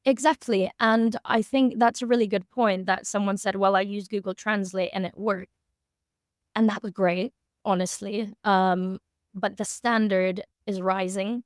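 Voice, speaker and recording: Female, 20s, consultant being interviewed. Medium pitch, conversational, explains candidly, very little room sound. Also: fairly even intonation, unhurried, no room sound, studio quality. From born in Moscow, grew up in Singapore. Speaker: SEO consultant and interview guest.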